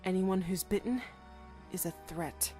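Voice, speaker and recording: Woman acting grave, anxious scientist, medium pitch. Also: unhurried, dark tone, soft background music, close to an everyday voice. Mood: grim. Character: grave, anxious female scientist reporting disaster. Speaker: voice actor in an audio drama. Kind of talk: dramatic audio drama acting.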